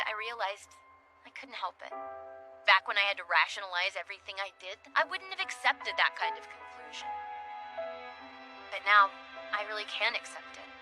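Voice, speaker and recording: A blunt, lively anime schoolgirl. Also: on the high side. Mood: earnest. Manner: brusque and candid. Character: blunt, sharp-tongued teenage schoolgirl. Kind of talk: anime English dub acting.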